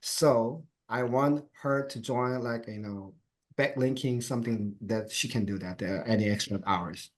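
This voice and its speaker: A middle-aged man talking as an interview guest, halting with frequent restarts and laughs. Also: mid-range voice. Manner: halting phrasing with many restarts, laughs often. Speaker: guest sharing his business plans. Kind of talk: casual interview conversation.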